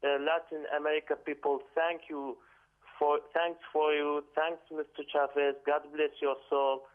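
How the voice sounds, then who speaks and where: A man calling in from Iraq, speaking halting with many fillers. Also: a little high, flat delivery. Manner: halting non-native English with many fillers. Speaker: caller. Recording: phone line.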